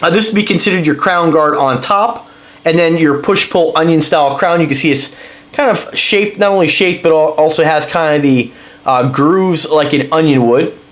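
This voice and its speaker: A man's voice: on the high side, moderate pace, fairly bright tone, echoey room. A man with a congested voice from a cold, rambling enthusiastically through a casual product review as he handles the item. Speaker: watch reviewer.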